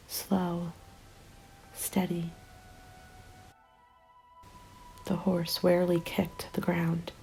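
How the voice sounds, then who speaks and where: Hushed, slow-speaking weary female survivor, acted by a woman. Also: fairly low pitch, low-quality recording. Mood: hushed wonder. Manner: hushed, slow, breathy, often dropping to a whisper. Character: weary lone female survivor of a zombie apocalypse. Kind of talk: ASMR roleplay, scripted first-person narration.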